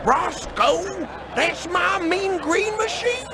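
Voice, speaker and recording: High-pitched, pompous Southern boss. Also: male voice, lively intonation, background noise, exaggerated voice. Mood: indignant. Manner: pompous, theatrical, drawn-out delivery. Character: pompous, greedy, blustering county boss.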